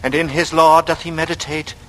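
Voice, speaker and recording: An actor playing a soothing young servant with hidden menace, coaxing in rustic working-class speech, in a radio drama. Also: male voice, on the high side, level tone, brisk, natural voice. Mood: solemn. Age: young adult. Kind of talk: 1940s radio acting. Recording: noisy vintage radio recording.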